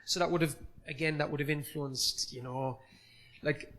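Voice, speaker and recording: A Cork man in his forties, a comedian talking casually on a podcast. His voice sits a little high for a man, and he wanders into chatty, self-deprecating asides. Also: fairly even intonation, moderate pace, a bit bright. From Cork City, Ireland. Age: 40s. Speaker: comedian guest. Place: comedy club, live recording.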